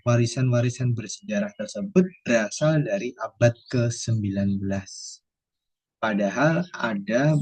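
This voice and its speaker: A man's voice: lowish voice, mellow, husky, poor audio. A man with an Indonesian accent, a lecturer explaining answers step by step and posing questions he then answers himself. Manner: didactic, poses questions then answers them. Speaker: university English lecturer. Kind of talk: explanatory lesson, going through quiz answers.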